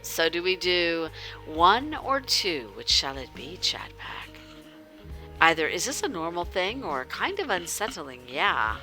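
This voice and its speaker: Female streamer narrating a story game: low-pitched, slow-paced, playful and theatrical. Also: soft background music. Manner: animated, theatrical, drops into whispers. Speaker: livestream host reading a visual novel with chat. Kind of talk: playful livestream chat mixed with story narration.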